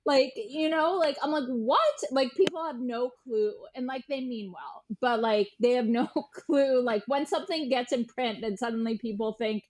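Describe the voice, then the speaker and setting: A woman with a fairly high voice talks casually as an interview guest. Her delivery is very informal and colloquial, full of "like" and little quoted reactions. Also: moderate pace, hardly any pauses, a little mellow, slight background noise. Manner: informal, peppers speech with "like", animatedly quotes others. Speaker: journalist and author, podcast guest. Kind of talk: casual chat.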